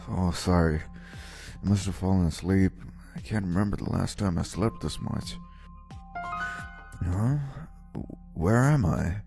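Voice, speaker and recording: A man's voice: sits low, slow, vocal fry, long pauses, soft background music, full character voice. A man voicing an exhausted, polite young office worker who gets flustered, in an earnest manga dub style. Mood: groggy, confused. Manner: earnest, weary, expressive. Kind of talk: anime-style manga dub narration and dialogue.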